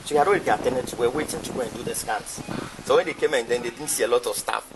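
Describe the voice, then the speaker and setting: Medic explaining amid loud noise. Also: male voice, medium pitch, very fast. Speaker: hospital medical staff member. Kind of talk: spoken account in conversation. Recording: very loud ambient background noise.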